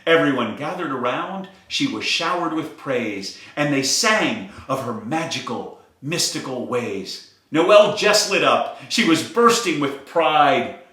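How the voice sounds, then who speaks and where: A man reading a rhyming children's picture book aloud in an expressive, sing-song way, in a very echoey room. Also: a little high, takes it slowly, hardly any pauses. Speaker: storyteller.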